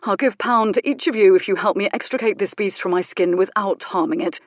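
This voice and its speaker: A young aristocrat speaks in strained, clipped, formal phrases, indignant through the pain, voiced by a woman narrating a novel. His voice sits a little higher than her narration. The recording is close and dry. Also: a little fast, no echo, natural voice.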